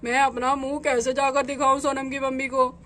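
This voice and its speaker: A woman voicing a worried, guilt-ridden middle-aged village father, speaking low and heavy. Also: level tone, fairly quick, a bit bright. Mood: resigned.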